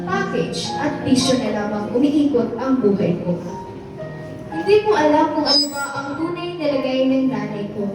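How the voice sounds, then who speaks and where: A woman playing a regretful wayward child, narrating a downfall in confessional radio drama style. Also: sits high. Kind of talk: scripted first-person radio drama narration. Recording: very echoey, distant, music behind.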